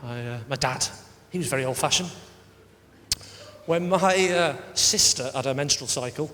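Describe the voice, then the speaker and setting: A man from the north of England doing deadpan stand-up comedy, breaking into loud shouted voices. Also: medium pitch, normal speed, slight background noise. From Lancashire, England. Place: large theatre stage. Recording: roomy hall with loud audience. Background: loud audience laughter.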